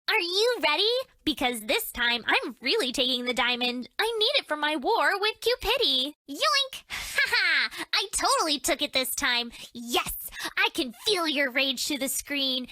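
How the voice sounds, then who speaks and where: A woman's voice: sits high, pushed and bright, no echo, studio quality, heavily acted. A woman voicing a brash, mischievous, lewd young woman in a dating game, with a teasing, theatrical delivery. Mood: gleeful taunting. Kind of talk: video game character voice lines.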